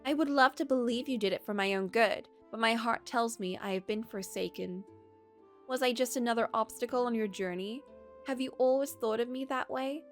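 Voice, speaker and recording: A woman's voice: on the high side, moderate pace, faint music behind, voice pushed up, lightly acted. A woman voicing a loyal, heartbroken young handmaid in a story. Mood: forsaken, pleading.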